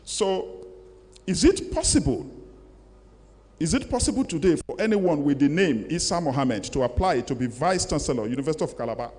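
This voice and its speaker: An older man with a Nigerian accent gives a formal public lecture, speaking deliberately and emphatically as he reads and reflects. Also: a little high, sing-song, a little mellow. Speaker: guest lecturer. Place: large lecture hall, indoors. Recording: echoey hall with long reverb.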